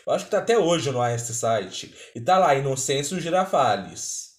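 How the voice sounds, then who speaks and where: A man's voice: mid-range voice, very animated, moderate pace. A man with a Brazilian accent giving casual, conversational reaction commentary as the host of a video. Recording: roomy, echoey sound.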